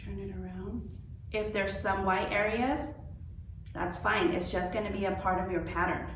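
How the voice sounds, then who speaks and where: A woman's voice: mid-range voice, steady tone, normal speed. A woman giving an informal step-by-step craft demonstration as an instructor, reassuring and often checking in with an "okay". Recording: roomy, distant mic with faint noise.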